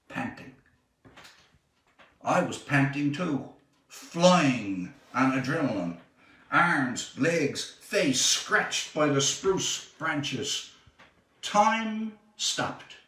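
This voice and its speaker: A man reads a short story in a fairly high, bright voice that rises and falls dramatically. He takes his time, leaning on phrases with deliberate pauses. The sound is roomy and echoing. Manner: measured, deliberate phrasing with expressive emphasis. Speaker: author reading own short story. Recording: echoey room, distant microphone.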